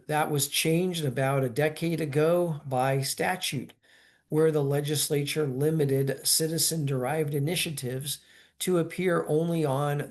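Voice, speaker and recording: A man lecturing in an explanatory, semi-scripted style, measured and formal, with halting pauses in mid-phrase. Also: on the high side, a little mellow. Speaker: lecturer explaining state law.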